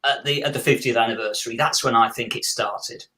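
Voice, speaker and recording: A middle-aged British man chatting casually and excitably on a podcast panel, often repeating his words. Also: medium pitch, brisk, a crowd in the background. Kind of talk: enthusiastic casual chat.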